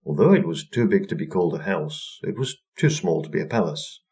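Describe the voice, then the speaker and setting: A man's voice: deep voice, lively intonation, a little fast, darkish tone. A man narrating a scripted folktale for children as a storyteller.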